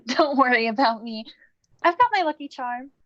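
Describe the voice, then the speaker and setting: A woman's voice: high-pitched, animated, a little slow, fairly bright tone, lo-fi sound. A woman playing a playful, perceptive young goddess in a staged reading, breezy and teasing. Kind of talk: live staged play reading.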